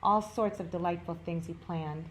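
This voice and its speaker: A woman narrates a storybook for children as a storytime reader. Her voice sits a little low, and there is clear noise in the background. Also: fairly even intonation, normal speed, fairly bright tone, rough recording. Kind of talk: children's story read-aloud.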